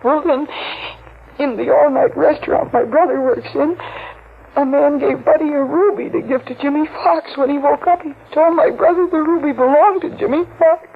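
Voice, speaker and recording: A woman's voice: high-pitched, fairly even intonation, moderate pace, gravelly. An actress playing a tearful young woman, crying, in a 1940s radio drama. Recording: tinny old radio broadcast with hiss.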